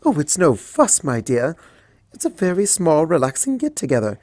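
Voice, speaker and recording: A man's voice: on the high side, huge pitch swings, normal speed, darkish tone, no echo, studio quality, voice pushed up. A man voicing a refined, fussy upper-class female unicorn in a fanfic reading, in a posh, soothing way. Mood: coaxing.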